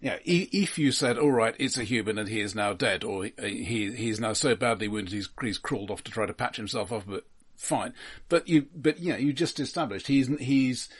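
A man co-hosting a podcast, analysing films casually in a halting way, repeating words as he argues. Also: medium pitch, slightly rough. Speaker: film discussion podcast co-host. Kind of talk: casual analytical film discussion.

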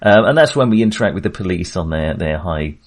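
A man's voice: sits low, animated, fast talker. A man hosting a film podcast, talking casually and hesitantly, often circling back to rephrase what he has started.

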